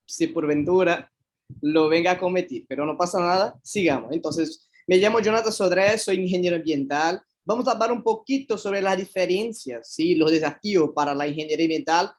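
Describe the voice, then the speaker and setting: A Brazilian man, a native Portuguese speaker, giving an online lecture on environmental engineering in careful, hesitant second-language Spanish. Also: sits high.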